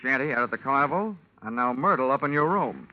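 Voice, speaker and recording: A man's voice: medium pitch, animated, quick pace, lightly acted. An actor playing a wry, hard-boiled insurance investigator who narrates his own case, in 1950s radio drama style, on a muffled old recording. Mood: pressing. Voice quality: wisecracking, dry. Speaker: detective lead and narrator.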